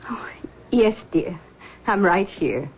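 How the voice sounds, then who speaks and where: A woman playing a doting, controlling middle-aged mother in a 1970s radio drama, with a husky voice and a coaxing manner. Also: mid-range voice, animated, takes it slowly, slight background noise. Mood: soothing. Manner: coaxing, cooing endearments. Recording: dull, lo-fi 1970s radio recording.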